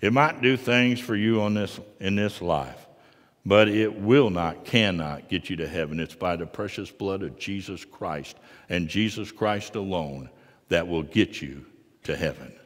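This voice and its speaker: A middle-aged man preaching a sermon in a large church sanctuary, moving from quiet asides to loud, fervent passages. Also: sits low, a little slow, long reverb tail. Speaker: pastor.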